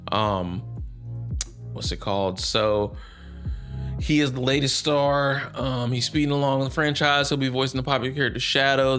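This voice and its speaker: A man giving casual, off-the-cuff gaming news commentary as a host, rambling and halting as he goes. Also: medium pitch, a bit bright.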